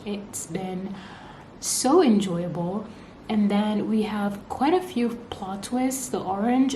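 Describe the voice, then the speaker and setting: A young woman whose first language is Arabic, chatting casually and excitedly about books she is reading for her vlog, often tagging "okay?" and "like" onto her sentences. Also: mid-range voice. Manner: gushing, excitable, repeats "okay?" and "like". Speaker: book vlogger. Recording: echoey room with noticeable background noise.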